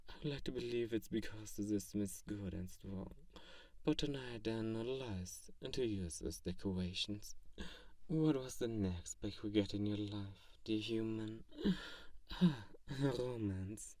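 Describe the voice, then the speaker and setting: A man playing an ancient, teasing yet soothing vampire in an intimate ASMR roleplay, speaking in slow phrases with hushed touches. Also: fairly low pitch, dry recording, recorded from a distance, lightly acted. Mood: tender, melancholy. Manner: slow pauses, soft chuckles, drops into whispers. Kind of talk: ASMR roleplay, intimate one-sided comfort monologue.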